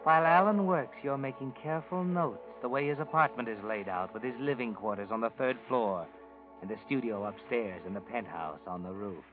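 A man narrating an old radio drama in the second person, with measured, insinuating delivery. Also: medium pitch, lightly acted. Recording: tinny old radio broadcast with music behind.

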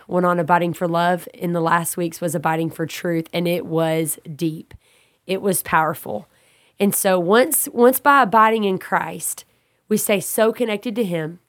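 A woman leads a Bible study with a stuffy, slightly raspy voice from a cold. She talks earnestly and steadily, repeating and restating her points as she builds them. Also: medium pitch. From Texas. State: congested, a little raspy.

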